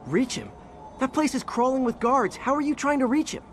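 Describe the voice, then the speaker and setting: A man's voice: extremely high pitch, expressive, a little fast, slight background noise. An actor playing an earnest young superhero in his twenties, talking in a boyish, conversational way with anxious wisecracks in a video game. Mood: worried. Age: 20s.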